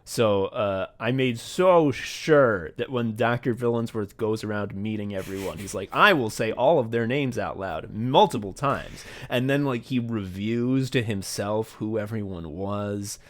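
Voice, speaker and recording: A man's voice: lowish voice, a little slow, hardly any pauses. A man chatting casually as a podcast host, rambling and swearing as he retells things and quotes himself. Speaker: podcast host and audio drama writer. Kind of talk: casual behind-the-scenes podcast chat.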